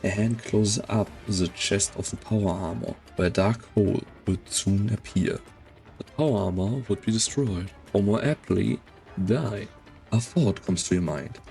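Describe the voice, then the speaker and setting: A man narrating a game story in a measured, solemn, dramatic scripted style. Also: fairly low pitch, vocal fry, a little mellow, soft background music.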